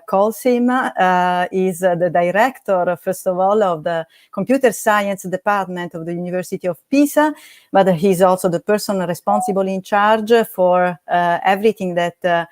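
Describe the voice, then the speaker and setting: A woman hosting an online event, talking haltingly with many fillers. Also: lowish voice, dry recording, clean studio sound. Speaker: event host. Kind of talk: hesitant opening remarks.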